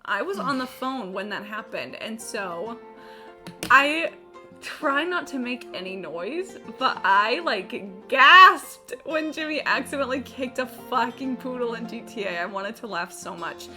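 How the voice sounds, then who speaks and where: A woman live-streaming a game with casual, expressive, sweary chatter. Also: a little high, measured pace. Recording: stream with faint game music behind. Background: game music.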